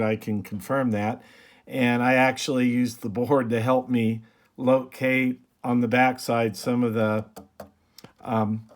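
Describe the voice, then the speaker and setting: A man with a mid-pitched voice talks through a hands-on hobby repair in a casual, unscripted way. He speaks slowly, halting to think aloud as he goes. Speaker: hobbyist presenter of a radio repair video. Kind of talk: casual unscripted show-and-tell commentary.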